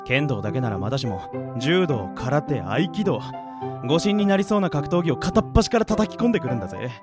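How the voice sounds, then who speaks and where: An actor playing a rough-spoken, exasperated teenage schoolboy, the straight man in a comedy radio drama. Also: medium pitch, very animated, fairly quick, natural voice. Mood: weary, grumbling. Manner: blunt, rough-spoken, retorting. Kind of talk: anime-style comedy radio drama acting. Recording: loud music bed under the voice. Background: music.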